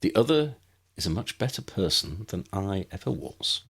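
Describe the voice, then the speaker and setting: Man as formal, wry, husky uncle. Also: low-pitched, big pitch swings, fairly bright tone, full character voice. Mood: wry, grave. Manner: measured, wordy, formal. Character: formal, wry, self-doubting adult uncle without magic.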